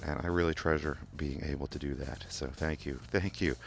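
A man with a Kentucky accent hosting a community radio music show from a studio, talking in a relaxed, rambling way with many "uh" fillers and restarts. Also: rumbling low, a little fast, creaky. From England. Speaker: radio DJ host. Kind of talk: casual radio DJ talk. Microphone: studio microphone.

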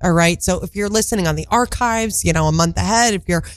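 A congested woman co-hosting a radio chat show, chatty and quick to laugh, hopping from one topic to the next. She is heard close on a studio mic with a dry sound. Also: lowish voice, no room sound. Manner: chatty, laughs often, jumps between topics. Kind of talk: casual chat.

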